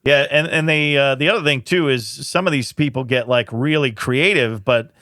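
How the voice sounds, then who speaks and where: Bright-voiced man, unhurried and hesitant. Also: medium pitch, dry recording, clean studio sound. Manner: relaxed, hesitant storytelling with many uhs. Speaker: podcast guest. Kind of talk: casual chat.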